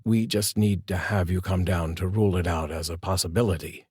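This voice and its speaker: A man doing a calm, formal police detective. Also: deep voice, slightly rough, dry recording, clean studio sound. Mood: somber. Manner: measured, soft-spoken and formal.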